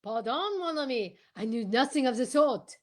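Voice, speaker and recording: A woman's voice: high-pitched, lively intonation, normal speed. A woman playing a courteous, self-assured male Belgian detective in an old-time radio style drama, theatrical and peppered with French phrases. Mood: calm, knowing.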